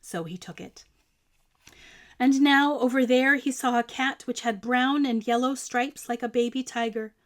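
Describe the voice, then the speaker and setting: High-voiced expressive woman storyteller. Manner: expressive, emphatic, deliberate phrasing for children. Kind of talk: picture book read-aloud.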